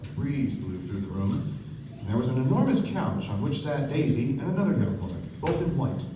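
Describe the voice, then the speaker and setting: A man playing a reflective, well-bred young narrator of the 1920s in a measured, literary stage delivery. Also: mid-range voice, big pitch swings, noisy background, lightly acted. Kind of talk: scripted first-person stage narration. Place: stage of a small theatre. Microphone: far camera. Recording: echoey theatre from far off, music behind.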